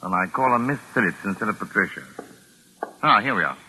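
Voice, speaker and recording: An actor playing a jaunty, talkative English gentleman writer in a 1940s radio thriller, with an urbane, wry, excitable manner and a British accent. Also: fairly low pitch, brisk. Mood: genial. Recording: noisy vintage radio broadcast recording.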